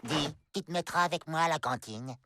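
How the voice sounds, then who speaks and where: A woman's voice: sits low, fairly quick, a bit bright, dry recording, studio quality. Eager, naive cartoon tinkerer guy. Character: naive cheerful male inventor who dismantles everything. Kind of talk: French animated comedy dubbing. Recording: music behind the voice.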